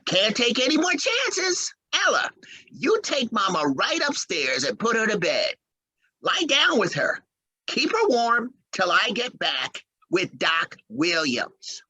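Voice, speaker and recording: A woman's voice: a little high, very animated, slow, sharp tone, slightly rough, no room sound, heavily acted, poor audio. A woman doing a flustered, swooping young husband. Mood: urgent. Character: fretful young husband, fussing over his mother. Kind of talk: old-time radio drama.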